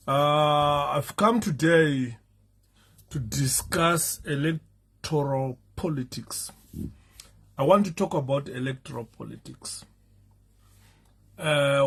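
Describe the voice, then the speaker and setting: Hoarse Zimbabwean man with raised pitch. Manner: halting, many fillers, switches into Shona and sings. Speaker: political commentator. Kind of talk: live-streamed talk greeting viewers.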